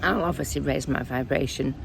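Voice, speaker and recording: A woman speaking as a spiritual medium in a casual, earnest, rambling monologue, her voice croaky and dry. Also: a bass voice, fairly quick, background noise. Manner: earnest, rambling, self-correcting.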